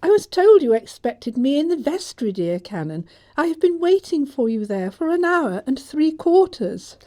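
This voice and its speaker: A woman's voice: high-pitched, big pitch swings, moderate pace, mellow. A woman playing a prim, earnest middle-aged governess in a stage comedy audiobook, with an English accent and a formal, theatrical manner.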